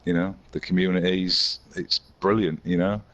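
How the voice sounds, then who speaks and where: A hoarse man from England with a Yorkshire accent, chatting casually as an interview guest and laughing easily. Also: deep voice, level tone, moderate pace, a bit bright. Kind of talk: casual chat.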